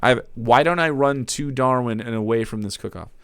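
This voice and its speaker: Brief: young male streamer, dramatic read-aloud, bright edgy voice, dry close sound. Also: mid-range voice, normal speed, clean tone, no room sound. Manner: theatrical, breaks off to laugh and comment. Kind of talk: dramatic reading of fan fiction.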